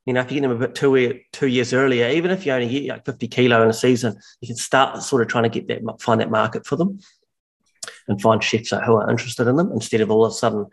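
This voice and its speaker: A man's voice: medium pitch, fast, vocal fry. A man, a mushroom farmer chatting as a guest on a video call, explaining at length and often correcting himself mid-sentence. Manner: rambling, self-correcting explanations. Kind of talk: casual video call chat. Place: large bare indoor workshop room. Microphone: video call, headphones on.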